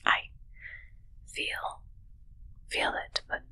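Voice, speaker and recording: A woman voicing an awkward alien posing as a medic in a whispered ASMR roleplay, with halting, broken speech and a puzzled manner. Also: pushed and bright, heavily acted. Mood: curious, fumbling.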